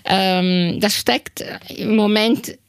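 A woman journalist from Italy, whose first language is Italian, speaks earnestly and hesitantly. She pauses often, searches for words and restarts her sentences. The sound is close and dry, with no room echo. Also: medium pitch, steady tone, clean tone. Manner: hesitant, many fillers and restarts; earnest, impassioned. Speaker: radio journalist, interview guest. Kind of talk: interview answer.

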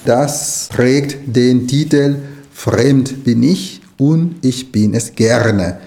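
A middle-aged man from Nicaragua, a native Spanish speaker, answers interview questions in a thoughtful way that keeps restarting. His voice is gravelly, dark and mellow, at a middling pitch. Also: drawn-out words. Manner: thoughtful, with many restarts and fillers. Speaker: writer and language lecturer.

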